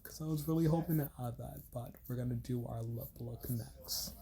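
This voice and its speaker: A man's voice: medium pitch, normal speed, mellow. A young man with a congested, stuffy voice, rambling casually through a makeup tutorial voiceover with many "like" fillers. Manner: rambling, heavy use of filler "like". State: congested from a cold. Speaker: beauty vlogger.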